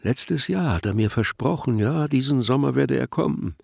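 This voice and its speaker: A man acting an earnest, devoted festival impresario in a radio play, husky and gravelly, reassuring and ceremonious. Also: mid-range voice, lively intonation, normal speed, a little mellow, dry recording, clean studio sound. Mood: wistful, earnest.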